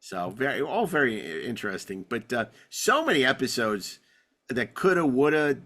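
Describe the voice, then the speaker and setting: A man hosting a podcast in casual discussion, telling rambling anecdotes with halting, repeated words. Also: mid-range voice, sing-song, pushed and bright. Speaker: podcast host. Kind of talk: casual panel discussion of classic TV.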